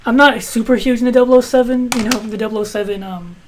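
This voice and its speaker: A man in his twenties with a high-pitched voice, reviewing his film collection in a rambling, casual way with some swearing. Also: steady tone, fast, background noise. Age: 20s. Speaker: video host.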